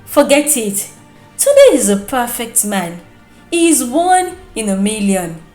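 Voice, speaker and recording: A young Nigerian seamstress, played by a woman storyteller, torn but firm in a folktale. She protests emphatically in a fairly high voice with big jumps in pitch. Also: sing-song, slow, dark tone, reverberant, faint music behind. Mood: dismissive. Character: conflicted young seamstress, firm yet wavering friend.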